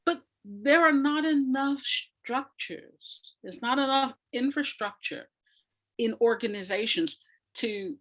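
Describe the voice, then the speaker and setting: A woman speaking as an interview guest, answering conversationally in a reflective, emphatic way with pauses between phrases. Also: a little high, fairly bright tone, low-quality recording. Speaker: interview guest, arts practitioner.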